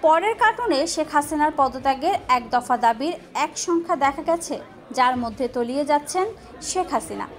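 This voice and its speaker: High-pitched woman narrating news. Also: lively intonation, a little fast. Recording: faint music behind voice. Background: faint background music.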